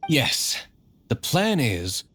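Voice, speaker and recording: An actor playing a brooding, coolly courteous young master of a tavern in a video game, formal and reserved. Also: male voice, mid-range voice, huge pitch swings, extremely slow, pushed and bright, breathy edge, heavily acted. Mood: solemn, resolute.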